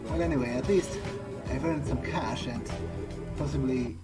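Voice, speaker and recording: Male let's player acting out an awkward, dry vampire: halting, rambling delivery, prominent game music behind. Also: medium pitch, close to an everyday voice. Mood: puzzled, mildly irritated. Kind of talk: in-character improvised roleplay commentary over a video game. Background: video game soundtrack.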